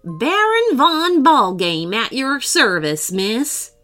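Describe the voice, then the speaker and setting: A woman's voice: on the high side, big pitch swings, takes it slowly, fairly bright tone, faint music behind, full character voice. A woman voicing a fussy, pompous male collector in a storybook reading, with a theatrical manner.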